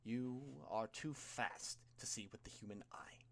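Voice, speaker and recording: A man voicing a calm, menacing mist villain with a breathy, whispery voice and formal, measured speech. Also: mid-range voice. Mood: coldly menacing. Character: calm menacing mist villain, coldly explaining his deadly task.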